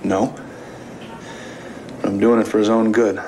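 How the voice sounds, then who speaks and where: A man's voice: on the low side, flat delivery, slow pace, darkish tone. An actor playing a weary, principled lawyer in his forties, terse, with an American accent. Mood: weary, grim. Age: 40s. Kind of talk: understated television drama acting. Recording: noisy television drama soundtrack.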